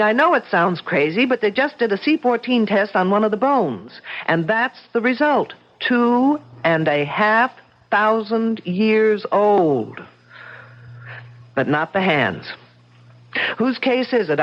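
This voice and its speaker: An actress playing a brisk, sharp-tongued woman detective in a 1970s radio drama. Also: medium pitch. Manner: brisk, impatient, probing. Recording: dull old radio broadcast.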